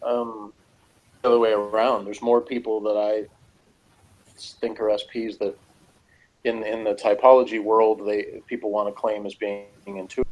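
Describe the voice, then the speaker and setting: A man with a fairly low voice in casual conversation. He rambles a little and hesitates as he works through his thoughts, like a co-host on a discussion show. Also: level tone, normal speed, darkish tone, lo-fi sound. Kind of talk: casual discussion of TV and personality types.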